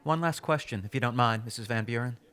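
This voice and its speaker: An actor playing a courteous, probing documentary journalist in a radio drama, speaking in a polite, measured way. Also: male voice, mid-range voice, a bit bright, clear, resonant tone, natural voice. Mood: gentle, courteous. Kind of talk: radio drama interview and scripted narration.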